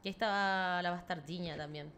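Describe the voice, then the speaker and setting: A young Chilean woman with a Chilean Spanish accent and some Argentine touches, giving live esports commentary from an indoor room at home, quick to burst into excited exclamations. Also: lowish voice. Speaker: esports caster. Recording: clear background noise from game sounds.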